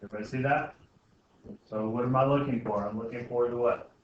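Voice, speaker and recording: A man's voice: medium pitch, steady tone, fast. Male math teacher, casual and question-driven, muffled and noisy in a very reverberant classroom. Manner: informal, checks understanding often. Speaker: algebra teacher. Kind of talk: classroom lesson with questions to students. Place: indoor school classroom. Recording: very echoey noisy classroom, distant muffled mic.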